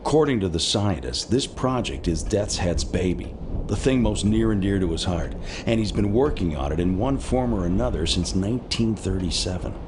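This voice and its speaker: A man's voice: low-pitched, lively intonation, moderate pace, background noise. A male actor playing an earnest, dutiful military intelligence aide in a video game, speaking formally and precisely. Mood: sober reporting. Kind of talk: video game briefing acting.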